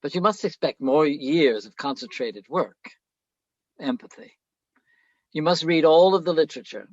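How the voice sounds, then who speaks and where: An actor playing an older, self-absorbed philosophy professor in a radio play, lecturing pompously and haltingly. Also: male voice, on the high side, expressive, dry recording, dull audio.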